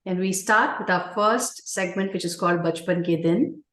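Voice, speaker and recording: A woman's voice: fairly low pitch, normal speed. Woman podcast host in a roomy space. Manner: gentle, hesitant with fillers. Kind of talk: podcast interview hosting. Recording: roomy echo.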